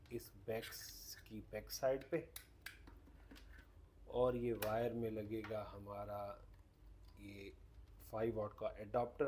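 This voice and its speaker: A man's voice: medium pitch, dark tone. A man hosting a casual, hands-on product demonstration, explaining things step by step. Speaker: host demonstrating a radio product.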